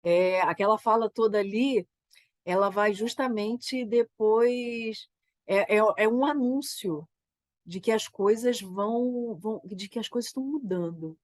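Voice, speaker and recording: Brazilian woman talking through her play, still a bit sniffly from a cold, halting and thoughtful, dry close sound. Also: medium pitch, darkish tone, no echo. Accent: Brazilian Portuguese. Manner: thoughtful, halting, frequent "né?" tags. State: getting over a cold. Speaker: theater creator. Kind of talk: reflective conversation.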